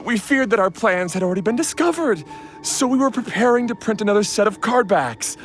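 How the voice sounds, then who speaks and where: A nervous thief, voiced by an actor, at a middling pitch, explaining hurriedly and anxiously before begging for mercy. Game music plays faintly behind. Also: expressive, brisk, close to an everyday voice. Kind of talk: game cutscene voice acting.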